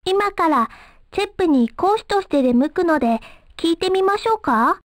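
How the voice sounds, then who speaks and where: A woman's voice: very high-pitched, animated, normal speed, no room sound, studio quality. A woman playing a polite, naive, cheerful young street-performer girl in a video game, with a guileless, girlish manner. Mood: polite, friendly.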